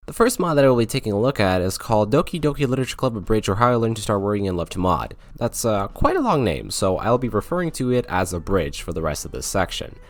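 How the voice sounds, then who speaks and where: A man's voice: on the low side, big pitch swings, quick pace, few pauses, a little hiss or hum. A man narrating a scripted video game review as host of his channel.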